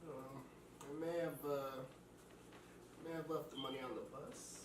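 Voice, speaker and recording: A man's voice: mid-range voice, fairly even intonation, unhurried, lightly acted. A man playing a goofy young housemate who makes sheepish excuses in a casual, hesitant table read. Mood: sheepish, hopeful. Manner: hesitant, casual, slangy delivery. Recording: roomy, distant mic, clearly noisy.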